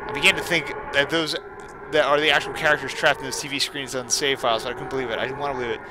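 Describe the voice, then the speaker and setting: A man's voice: medium pitch, fairly even intonation, racing, background noise. A man giving casual game commentary and reading a story aloud, with sardonic, exasperated asides. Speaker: let's player reading a creepypasta aloud.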